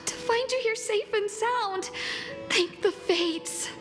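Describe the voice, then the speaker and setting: A woman's voice: very high voice, slow, a bit bright, exaggerated voice. A woman playing an earnest, courteous young student in a video game, speaking politely and formally. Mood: relieved. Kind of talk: dramatic video game voice acting. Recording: reverberant hall, faint music.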